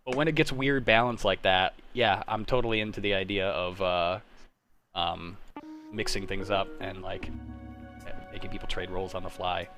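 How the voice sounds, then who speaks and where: A man's voice: low voice, fairly even intonation, moderate pace, music in the background. A young adult man hosting a livestream, chatting casually in a slangy, rambling way with friends. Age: young adult to 30s. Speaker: livestream host. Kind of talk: casual chat. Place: online group voice call.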